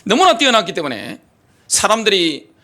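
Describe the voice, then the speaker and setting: A middle-aged male pastor preaching in a church sanctuary with a high, lively voice that leaps up and down. His tone is bright and gravelly, and he presses his points with rhetorical questions. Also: normal speed. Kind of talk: sermon.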